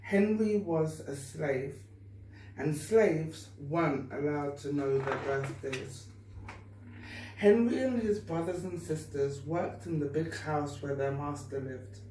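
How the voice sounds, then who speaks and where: A woman reading a story aloud to children with deliberate, expressive phrasing. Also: deep voice. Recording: echoey room, distant mic, faint noise.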